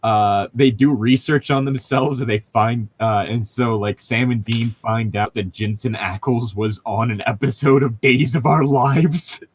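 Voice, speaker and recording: A man co-hosting a casual chat, rambling through stories in an excitable, profane and laughter-prone way. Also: medium pitch, a little slow, hardly any pauses, husky.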